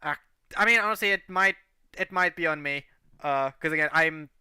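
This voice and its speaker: A man from Portugal who learned English later talks through his writing plans. He rambles and restarts often. His voice sounds smooth, and the close mic keeps it dry. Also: fairly high pitch, moderate pace, no echo. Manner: animated, rambling with many restarts and fillers. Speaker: series creator and writer. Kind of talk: casual livestream chat.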